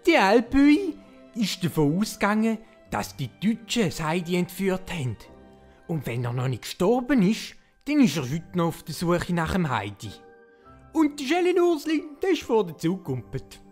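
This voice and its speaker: A woman's voice: fairly low pitch, very animated, moderate pace, a little mellow. A woman with a Swiss German accent narrating playfully, like a fairy tale told to children. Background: music.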